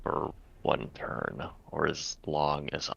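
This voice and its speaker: A man's voice: extremely low pitch, fairly even intonation, slow pace, creaky, fairly bright tone, slight background noise. A man talking casually in role as a player in a tabletop game. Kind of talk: casual role-play talk. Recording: dull, lo-fi mic.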